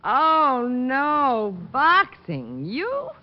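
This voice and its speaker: Dry teasing girlfriend, old radio drama, slow drawn out talk, dull sound w a bit of noise. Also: high-pitched, huge pitch swings, exaggerated voice. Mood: mocking. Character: teasing, sardonic young woman, detective's sweetheart. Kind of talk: 1950s radio acting.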